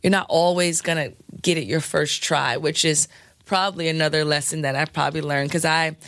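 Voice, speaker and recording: A woman DJ with a scratchy, hoarse voice, chatting frankly in an interview with lots of fillers and laughs. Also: sits low, fairly even intonation, brisk, fairly bright tone. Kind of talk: candid casual interview talk.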